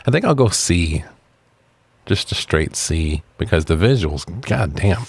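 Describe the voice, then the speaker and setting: A man with a very low voice talks through a film as a podcast co-host. His delivery is casual and sweary, and the recording is dry and close. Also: animated, a little slow, vocal fry, breathy edge, no echo. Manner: informal, sweary. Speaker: podcast co-host reviewing films. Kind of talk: casual film review chat.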